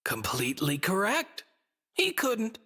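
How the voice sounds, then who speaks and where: A woman's voice: medium pitch, wildly swooping pitch, measured pace, sharp tone, slightly rough, full character voice. A woman playing a smug, theatrical stuffed-bunny god in a video game, speaking in mocking, riddling, ornate phrases. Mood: cryptic, grand.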